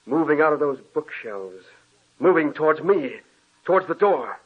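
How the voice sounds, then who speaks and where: An actor playing a sleepless, haunted husband, curt and agitated, in 1940s radio acting style. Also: male voice, on the high side, expressive, moderate pace, husky. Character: haunted man, tense, paranoid, sleepless. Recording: tinny old radio recording.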